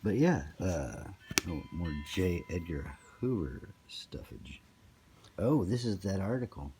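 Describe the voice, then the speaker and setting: A man's voice: sing-song, takes it slowly, a little mellow. A man giving casual, off-the-cuff commentary as he browses, rambling in a wry, slangy way. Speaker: online seller showing an item. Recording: noisy amateur recording with background rumble.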